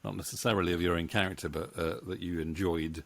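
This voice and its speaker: A middle-aged man hosting a casual interview in a halting, hesitant way, close on a studio mic. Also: sits low, fairly even intonation, vocal fry. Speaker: interview host, voice actor. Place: small home room with bookshelves. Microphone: studio mic on boom arm, close.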